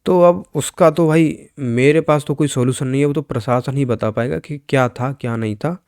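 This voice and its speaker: A man with a mid-pitched voice gives an informal explainer, talking straight to his viewers. He is instructive and tends to repeat key points, and the sound is dry with no room around it. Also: brisk, darkish tone, clear, resonant tone, dry recording. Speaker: exam news presenter. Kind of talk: informal explainer commentary over on-screen figures.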